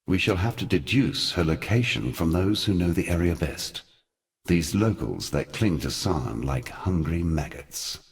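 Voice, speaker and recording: An actor playing an ancient, brooding god in a fantasy game, speaking in solemn, ornate and deliberate phrases like a theatrical monologue. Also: male voice, sits low, expressive. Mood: solemn, ominous. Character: ancient grave god, former lover of gods, brooding and eloquent.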